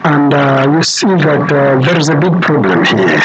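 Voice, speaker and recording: Radio panel guest, Zambian man with a high voice, measured explanatory delivery, noticeably noisy audio. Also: sing-song, muffled recording. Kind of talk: conversational explaining in a radio interview.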